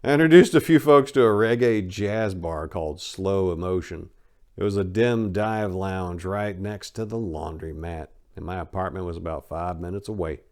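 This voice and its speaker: Male memoir narrator with a fairly low voice, dramatic reading style, punching key words and letting asides fall away. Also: moderate pace.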